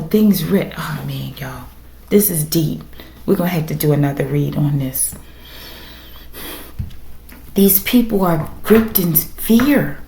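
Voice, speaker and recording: A woman with a raspy voice doing a casual tarot reading for her viewers, speaking emphatically and often checking in with "okay?". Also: mid-range voice, dark tone. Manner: emphatic, repeats "okay?" to the audience. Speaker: tarot reader. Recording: echoey room with faint noise.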